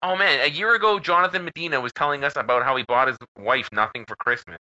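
A man's voice: mid-range voice, quick pace, bright, forceful tone. A man with a Canadian accent co-hosting a podcast, joking around and swearing freely. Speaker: podcast co-host. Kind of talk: casual banter. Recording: slightly muffled podcast audio.

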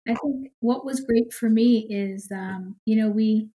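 A woman's voice: on the high side, unhurried, mellow, low-quality recording. A middle-aged woman, a podcast guest, talking in an upbeat, chatty way. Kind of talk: casual interview chat.

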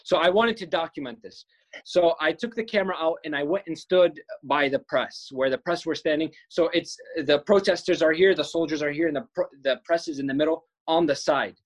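A man's voice: a little high, a little fast. A young man from Palestine, a filmmaker guest on a podcast, telling his story earnestly and often restarting his words.

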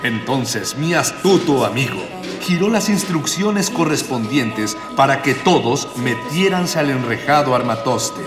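Man as a grandiloquent narrator in deep reverb. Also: animated, unhurried, few pauses, close to an everyday voice. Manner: grandiloquent, archaic wording. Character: florid, bookish narrator friend of the hero. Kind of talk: scripted radio drama narration. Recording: echoing reverberant voice over music.

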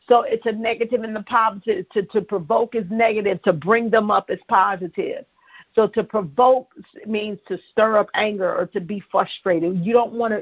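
A woman's voice: medium pitch, breathy edge, dry recording, dull audio. A woman pastor, preaching to callers and calling for responses on a live prayer line. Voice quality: strong voice. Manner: preachy call-and-response with the listeners. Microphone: phone line.

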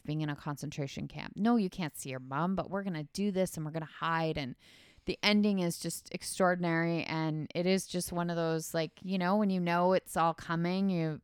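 A woman's voice: deep voice, smooth, no room sound, clean studio sound. A young woman co-hosting a podcast, chatting casually about movies, with lots of fillers and frequent laughs. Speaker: podcast co-host. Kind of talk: casual chat.

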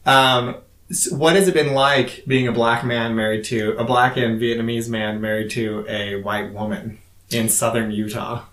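A man's voice: medium pitch, little pitch movement, a little slow, fairly bright tone. A man co-hosting a podcast, asking direct questions in an easygoing, conversational way. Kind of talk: casual conversation. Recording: roomy echoey room.

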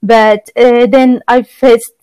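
A woman's voice: fairly high pitch, level tone, slow, a little mellow, clear, resonant tone, dry recording. A young woman from Gaza with a Palestinian Arabic accent, answering interview questions conversationally as a podcast guest. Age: young adult. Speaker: podcast guest, exchange student.

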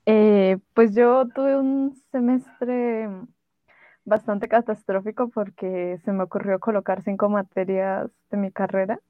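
A young woman talks casually as a podcast co-host at a medium pitch. Her voice is very dry, with no room around it, and the audio is slightly dull. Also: fairly even intonation, slow, no echo. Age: young adult.

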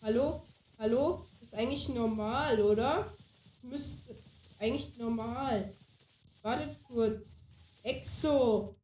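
A woman's voice: fairly high pitch, slow pace, long pauses, a little mellow, distant mic. A woman streamer chatting casually over gameplay, using a voice changer. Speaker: gaming streamer. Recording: echoey, off-mic sound, faint music behind.

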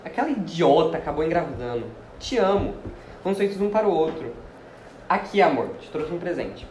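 A woman playing a smooth-talking, pleading cheating husband in an amateur radio soap opera. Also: sits low, sing-song, fairly quick. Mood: coaxing. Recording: roomy, faint noise, amateur recording.

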